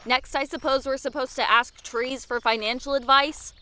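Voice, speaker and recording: A woman's voice: high voice, steady tone, moderate pace, bright, forceful tone. A young woman playing a sarcastic, feisty adventurer in an audio drama, with a teasing way of talking.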